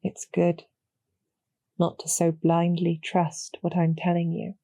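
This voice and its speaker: A woman playing a calm, courteous female demon general in a roleplay audio, speaking softly with measured, gently formal persuasion and a husky, creaky edge. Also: on the low side, dry recording. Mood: calm, persuasive. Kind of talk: slow, soothing scripted roleplay audio for sleep.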